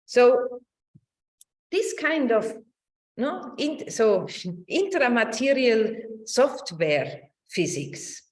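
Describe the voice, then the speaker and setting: A woman's voice: on the high side, sing-song, drawn-out words. A middle-aged woman gives an academic online talk, searching for words and repeating phrases as she goes. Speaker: academic lecturer.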